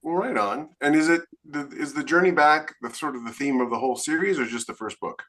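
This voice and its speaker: A man's voice: a little high, brisk, a bit bright. A man hosting a podcast interview in a casual, conversational style.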